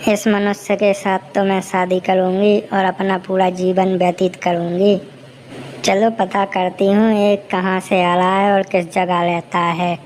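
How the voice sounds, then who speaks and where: A woman playing a smitten forest witch in a cartoon story, musing aloud in a deliberate way. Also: medium pitch, flat delivery, darkish tone, a little hiss or hum, natural voice. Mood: smitten, scheming. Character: smitten female forest witch plotting to marry. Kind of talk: Hindi cartoon story dialogue.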